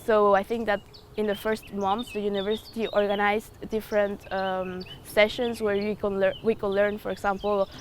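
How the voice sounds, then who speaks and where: Woman, 20s; thoughtful interview answers with fillers, average pitch, slight background noise. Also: flat delivery, moderate pace. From Ecuador. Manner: thoughtful, hesitant with frequent fillers. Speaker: student.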